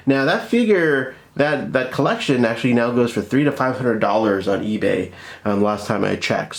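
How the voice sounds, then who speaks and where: A man hosting a casual product review, speaking informally and with enthusiasm. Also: mid-range voice. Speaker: toy review host.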